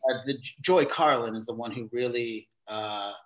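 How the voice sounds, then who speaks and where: A man being interviewed about his theater career, talking in a halting way with many restarts and repeated words. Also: medium pitch. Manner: acts out quoted exchanges in a livelier voice. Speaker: theater director, podcast guest. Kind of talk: casual interview conversation.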